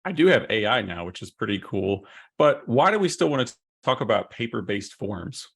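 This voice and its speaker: Middle-aged male consultant: low, gravelly voice, chatty, asks his partner easy questions. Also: sing-song, normal speed, a bit bright. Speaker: solution consultant. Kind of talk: webinar presentation.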